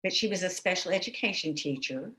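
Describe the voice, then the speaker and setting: A woman gives voice to a panelist's signed answers, speaking at a measured, steady pace. Her voice sits a little low for a woman. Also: low-quality recording. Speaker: sign language interpreter voicing a panelist.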